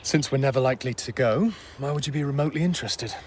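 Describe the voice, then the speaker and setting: An actor playing a dedicated doctor in a radio drama, wry and blunt but kind to patients. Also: male voice, mid-range voice, quick pace, a little mellow, slight background noise, natural voice. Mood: prickly, defensive.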